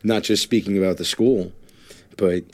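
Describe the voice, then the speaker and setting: A man's voice: sits low, fairly even intonation, normal speed. A man hosting a podcast, talking casually and rambling with many fillers. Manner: rambling, heavy on fillers like "you know". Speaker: podcast host.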